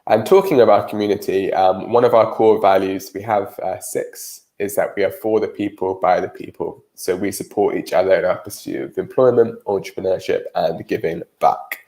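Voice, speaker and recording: A man's voice: low voice, steady tone, moderate pace, hardly any pauses, mellow. A British man with a cold and a nasal voice, giving a live-streamed career webinar presentation with frequent ums.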